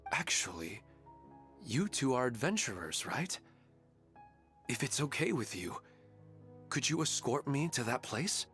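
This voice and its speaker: Casting: sorrowful widowed father, mid-pitched, subdued and hesitant, game character. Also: sing-song, a little slow, long pauses, a bit bright, soft background music.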